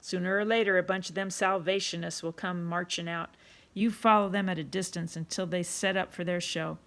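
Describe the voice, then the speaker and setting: A woman's voice: lowish voice, steady tone, a little fast, fairly bright tone. A woman doing a brusque, coarse pub owner. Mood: gruff, bossy. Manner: rough, ungrammatical talk, bossy.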